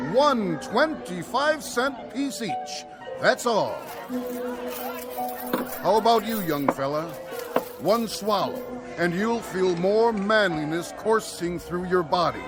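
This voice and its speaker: An actor playing a showy, fast-talking patent medicine peddler with florid barker's patter, in old-time radio drama style. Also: male voice, high voice, expressive. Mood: showy, persuasive. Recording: music behind, vintage radio drama.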